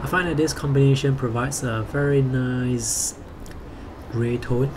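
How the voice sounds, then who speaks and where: A man calmly explaining his sketching and watercolor process in a voice-over. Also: medium pitch. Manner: calm, explanatory, unhurried. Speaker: artist narrating his sketching video. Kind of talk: voice-over commentary on painting. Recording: audible background noise.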